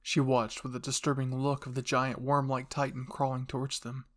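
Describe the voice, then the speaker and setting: Guy narrating anime fanfic for his channel, mid pitched voice, reading from a script. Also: completely flat delivery, moderate pace, fairly bright tone. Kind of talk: scripted fan fiction narration.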